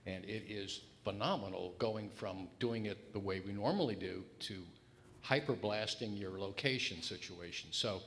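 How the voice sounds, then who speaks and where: A man with a fairly low voice offers questions and comments from the audience. His style is informal discussion. The hall is reverberant, with a faint rumbling noise underneath. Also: normal speed, fairly bright tone.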